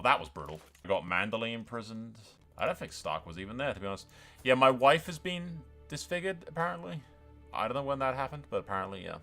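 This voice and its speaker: A man's voice: fairly low pitch, sharp tone. A man giving casual, sweary and blunt gameplay commentary as the host of a gaming video. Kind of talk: casual gameplay commentary with role-play asides.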